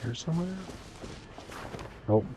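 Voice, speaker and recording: A man's voice: unhurried, noisy background. A man reading game dialogue aloud as the host of a video game playthrough. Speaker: gameplay host. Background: game music.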